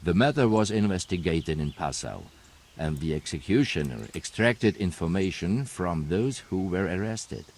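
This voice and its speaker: A grim, deep-voiced knight. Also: male voice, animated, moderate pace, a little mellow. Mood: terse report. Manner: blunt, matter-of-fact reporting. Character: grim male knight investigating counterfeiters. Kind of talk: video game cutscene acting.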